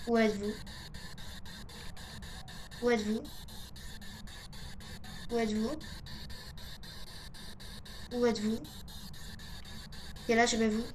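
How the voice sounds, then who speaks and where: A woman streamer with a fairly high voice talks casually through her game. She mutters half to herself and repeats short phrases. Clear background noise and ambience sit behind her. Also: flat delivery, takes it slowly, pauses often. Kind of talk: casual gameplay commentary, thinking aloud.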